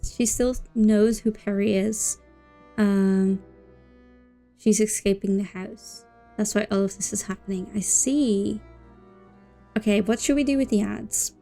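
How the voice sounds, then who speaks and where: A woman livestreamer giving casual, informal commentary on a game, musing out loud. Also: mid-range voice, fairly even intonation, measured pace, pauses often. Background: game music.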